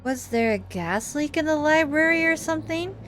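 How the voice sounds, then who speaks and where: A woman's voice: sits high, lively intonation, normal speed, fairly bright tone, faint music behind, pitched above the performer's own voice. Woman acting prickly, exasperated scolding cousin. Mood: dismissive, irritated. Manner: scolding, sarcastic. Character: prickly, exasperated female cousin, anxious and scornful.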